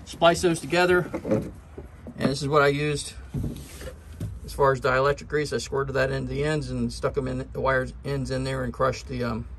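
A man's voice: mid-range voice, fairly even intonation, normal speed, a bit bright. Middle-aged American man, noisy tutorial recording. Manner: plain-spoken, step-by-step explaining. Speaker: presenter of a do-it-yourself boat video. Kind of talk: casual hands-on tutorial. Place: on and around a boat outdoors, and at a workbench.

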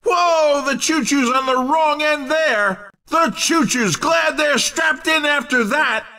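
An actor voicing an excitable, showy racing game commentator with a shouted, punchy delivery. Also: male voice, very high-pitched, slow, recorded from a distance, exaggerated voice. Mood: excited, teasing. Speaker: race commentator.

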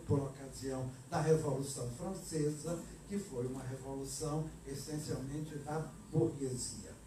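An older man with a Brazilian Portuguese accent giving a slow, deliberate political speech at an award ceremony, holding a handheld mic. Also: high-pitched, mellow, a little hiss or hum. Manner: slow, deliberate, emphatic oratory. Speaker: award speaker. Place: hall at an event, indoors. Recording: echoey hall, filmed from a distance.

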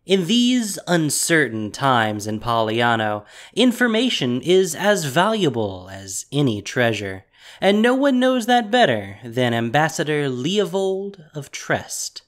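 Slow, very expressive woman story announcer. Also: low-pitched. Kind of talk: scripted story narration. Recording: music behind the voice.

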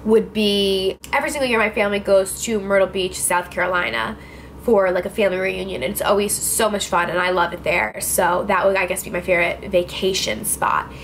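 A woman's voice: mid-range voice, steady tone, brisk, a bit bright, slight background noise. Chatty twenty-year-old woman vlogger. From New Jersey. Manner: chatty, filler-heavy, lots of "like" and "I don't know". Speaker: beauty vlogger. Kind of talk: casual Q&A vlog. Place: indoors, small room with clothes rack behind. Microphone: camera facing her at close range.

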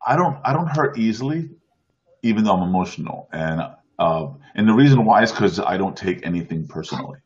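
A man's voice: lowish voice, expressive, a little fast, breathy edge. A man in casual chat on a streamed show, earnest, often restarting his sentences over a video call. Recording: dull lo-fi stream audio.

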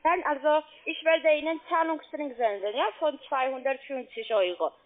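A high-pitched woman works through a phone sales pitch. She is a non-native German speaker with a foreign accent and keeps adding little checking questions. The sound is narrow and muffled, like a telephone call. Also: moderate pace. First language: not German. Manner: frequent checking tag questions. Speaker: call centre sales agent.

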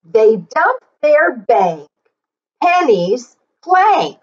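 A middle-aged woman reading aloud to young children in an animated, sing-song way, with encouraging asides. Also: high voice, very slow, slightly rough, muffled recording. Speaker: children's story reader and teacher. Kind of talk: read-aloud for young children, repeating lines slowly. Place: small plain indoor room.

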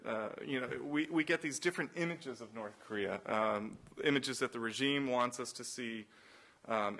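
A man at middle pitch gives a symposium talk with slides. He speaks loosely and anecdotally, piling up fillers and restarts as he moves from story to slide. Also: bright, forceful tone. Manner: acts out quoted exchanges in raised voices. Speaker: nonprofit director giving a symposium talk. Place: college symposium room.

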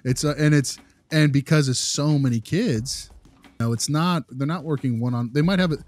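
A man's voice: fairly high pitch, moderate pace, a little mellow, no room sound. A man talking through his opinions in a casual podcast discussion, repeating phrases for emphasis. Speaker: podcast co-host, behavior analyst.

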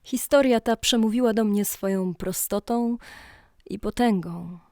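A woman's voice: mid-range voice, a little slow, a little mellow, dry recording, studio quality. A woman narrating a legend as a solemn, dramatic storyteller in archaic, scripted language.

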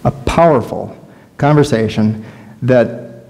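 A middle-aged man with an American Midwestern accent, preaching a story-led sermon in deliberate, halting phrases. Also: mid-range voice, darkish tone. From Wisconsin, United States. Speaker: Lutheran pastor. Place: large church sanctuary, indoors, before a congregation. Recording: reverberant church hall.

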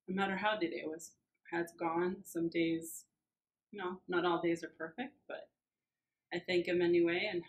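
A woman's voice: fairly low pitch, steady tone, normal speed, low-quality recording. A woman in her thirties from Argentina, answering interview questions in a plain, friendly way with fillers, in a small office. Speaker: church administrative assistant. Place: small church office, indoors.

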